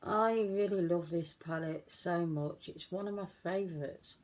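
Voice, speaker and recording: A woman with a cold, croaky voice, chatting casually through a makeup tutorial in a self-deprecating way. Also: fairly low pitch, a little slow, darkish tone. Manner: chatty, self-deprecating, talks while working. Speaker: beauty vlogger.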